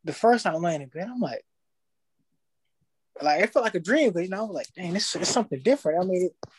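A young man, telling his story in casual podcast chat, slangy and animated, acting out his past thoughts. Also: high voice, fairly quick, a little hiss or hum, rough recording.

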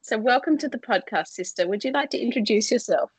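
A woman's voice: a little high, animated, fast talker, breathy edge, no echo, poor audio. A woman hosting a podcast, welcoming her guest in an encouraging way and laughing along. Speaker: podcast host. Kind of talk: casual interview chat.

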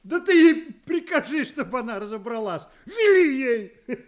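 An actor playing a detective's blunt, excitable male friend in a radio play, badgering and emphatic. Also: very high voice, moderate pace. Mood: exasperated. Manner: badgering, emphatic, rhetorical questioning. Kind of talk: Russian radio play acting.

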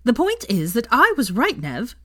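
A woman voicing a brash, excitable young woman who teases and gossips smugly in an audiobook-style story. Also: a little high, moderate pace, voice pushed up, full character voice. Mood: gleeful. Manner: excitable, smug, foul-mouthed.

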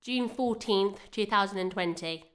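A woman playing a gentle, stoical elderly woman in an audio drama. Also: mid-range voice, moderate pace, natural voice. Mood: narrating. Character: gentle, stoical elderly woman May, retirement home resident.

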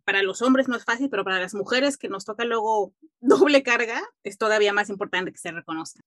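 A woman's voice: mid-range voice, a little fast, a bit bright, no echo, clean studio sound. A woman hosting an interview podcast, friendly and encouraging, asking long questions. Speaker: podcast host.

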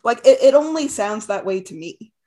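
A woman's voice: fairly high pitch, very animated, moderate pace, warm tone. Hesitant, self-deprecating young-sounding woman. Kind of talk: casual chat.

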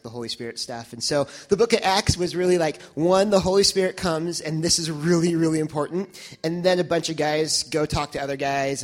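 A young man speaking to his church congregation, reflective and halting, with many restarts and fillers. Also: fairly high pitch. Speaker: church member sharing reflections.

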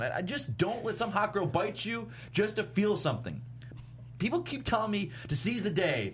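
A man acts out a young male vampire who is awkward and sorry for himself. His delivery is halting and self-deprecating, with a lively swing in pitch and a dry sardonic edge. There is noticeable hum and noise in the recording. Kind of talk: comedy script table read. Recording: clear background noise and hum.

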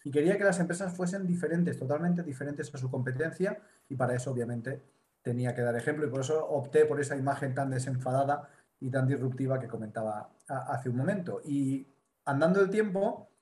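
A man's voice: medium pitch, a little fast, darkish tone. Spanish man explaining in a roomy echo. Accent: Spanish from Spain. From Spain, Mediterranean coast. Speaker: business consultant guest. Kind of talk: live interview.

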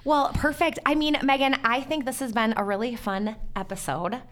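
A woman's voice: a little high, fairly even intonation, moderate pace, a bit bright. A woman hosting a casual podcast interview in a chatty, encouraging manner. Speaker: podcast host.

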